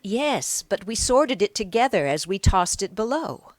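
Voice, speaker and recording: A woman's voice: mid-range voice, sing-song, unhurried, dry recording, pitched above the performer's own voice. A woman voicing a scheming, defensive female beer-house keeper of ancient Egypt, protesting and justifying herself in an audiobook. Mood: insistent. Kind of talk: audiobook character acting.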